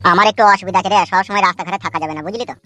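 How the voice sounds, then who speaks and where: Guy doing a mouthy young village troublemaker for a cartoon, rural west bengal accent, bright quick voice. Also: high voice, steady tone. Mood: matter-of-fact. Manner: blustering, crude. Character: brash, foul-mouthed young village man, bomb-waving troublemaker. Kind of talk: comic cartoon dialogue.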